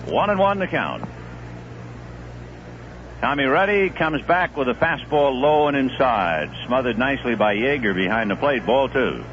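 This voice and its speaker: Guy doing baseball play by play, bouncy voice, old radio with faint hiss. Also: measured pace. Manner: steady, conversational play-by-play with live pitch calls. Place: stadium broadcast booth. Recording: muffled 1970s AM radio broadcast with faint hiss.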